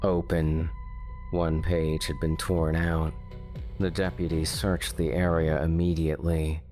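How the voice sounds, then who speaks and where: A man narrating a scripted true-crime horror story in measured, short phrases with pauses. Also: extremely low pitch, little pitch movement, a little mellow, faint music behind.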